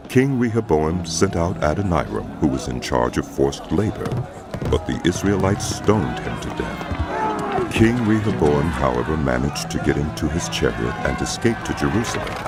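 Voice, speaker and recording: A man narrating scripture as a scripted drama, measured and solemn, with music behind his voice. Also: a bass voice. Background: loud music.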